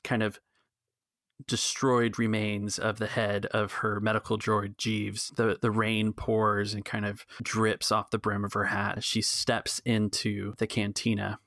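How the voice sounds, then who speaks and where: Male game master for tabletop storytelling, creaky delivery in a dry, close recording. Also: on the low side, bright, forceful tone, dry recording.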